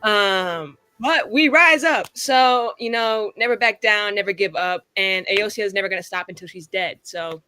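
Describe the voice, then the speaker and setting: A woman's voice: medium pitch, normal speed, hardly any pauses, pushed and bright, clear, resonant tone, faint music behind. A woman casually introducing her tabletop game character in a sassy, slangy way.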